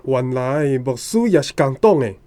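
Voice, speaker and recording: An actor playing a suspicious plainclothes government informant in a radio drama, with a Taiwanese accent. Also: male voice, medium pitch, expressive, moderate pace, mellow, lightly acted.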